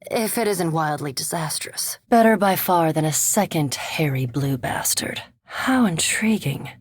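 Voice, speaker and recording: A female vampire scholar from a fantasy video game, in a lowish, husky voice. She is cool, reserved and curious, choosing formal words with dry precision. The sound is very close and dry, with no room around it. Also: animated, measured pace, no room sound, full character voice. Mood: cool, intrigued.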